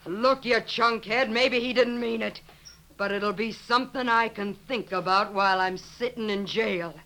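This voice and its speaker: A woman's voice: fairly high pitch, a little slow, sharp tone. An actress playing a tough, sardonic, slangy woman who leads an outlaw gang in a 1950s radio western. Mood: rueful, smitten. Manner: slangy, hard-bitten. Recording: noisy 1950s radio recording.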